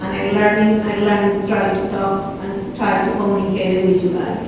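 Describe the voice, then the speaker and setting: Woman near sixty, flat delivery. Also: mid-range voice. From Spain. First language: Catalan. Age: 50s. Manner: halting, repeats phrases, careful non-native English. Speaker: city council candidate. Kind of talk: debate answer. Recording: echoey hall, distant mic, audible noise.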